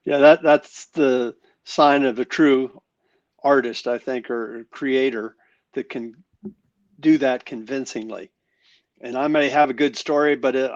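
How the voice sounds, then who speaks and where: A man guest on a podcast, telling stories in a relaxed conversational way. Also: medium pitch, no room sound. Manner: anecdotal storytelling, conversational. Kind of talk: casual conversation. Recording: dull lo-fi sound.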